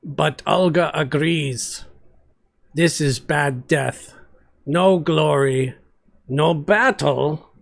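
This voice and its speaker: A man voicing a slow, rumbling savage warrior woman. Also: vocal fry, full character voice. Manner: broken, blunt speech in short phrases.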